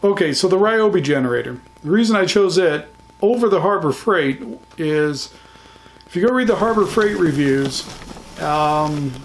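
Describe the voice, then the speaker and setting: A middle-aged man with an American accent talks through a casual recap as a hobbyist vlogger. His voice sits slightly higher than most men's. He comes across as folksy and plain-spoken. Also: lively intonation, normal speed, a little hiss or hum. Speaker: vlogger, amateur radio hobbyist.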